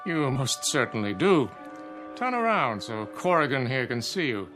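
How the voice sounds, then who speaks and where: Male actor as genial clubman for radio play: wry, breezy, very animated, over music. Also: medium pitch, moderate pace, natural voice. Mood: jovial. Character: jovial, genial male gentlemen's club member. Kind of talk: dramatic radio play acting. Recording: music bed behind the voice.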